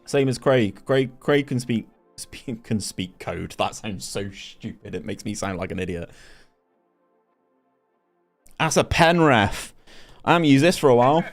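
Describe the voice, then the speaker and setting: Casting note: young man, chatty streamer, mid-pitched voice, light music underneath. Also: animated, unhurried, pauses often. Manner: chatty, reads chat aloud, many restarts. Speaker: gaming streamer. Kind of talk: casual live stream chat.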